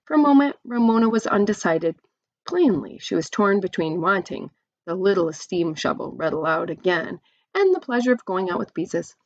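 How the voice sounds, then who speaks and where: A woman's voice: mid-range voice, animated, normal speed, no echo, highs cut off. A woman reading a children's storybook aloud expressively, like a teacher to young listeners.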